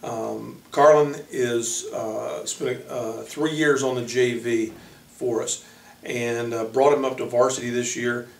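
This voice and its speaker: A man speaking as a college basketball coach, introducing players informally in a halting way with frequent pauses. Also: medium pitch, steady tone, gravelly. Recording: echoey room, distant microphone.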